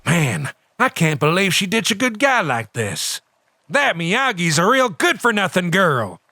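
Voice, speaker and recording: A performer voicing a brash, excitable, friendly young acquaintance in an amateur manga dub. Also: mid-range voice, a little slow, dry recording, heavily acted. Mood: indignant.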